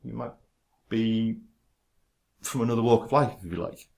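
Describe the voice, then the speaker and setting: Male, low-pitched; halting delivery with stammer control, frequent fillers and restarts. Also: vocal fry. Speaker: vlogger talking about his stammer. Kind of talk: casual direct-to-camera talk.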